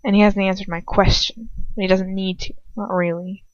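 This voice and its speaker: A woman's voice: mid-range voice, little pitch movement, moderate pace, no room sound, highs cut off. A woman reading a first-person story dramatically, stumbling now and then and rereading phrases. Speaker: amateur fan fiction reader.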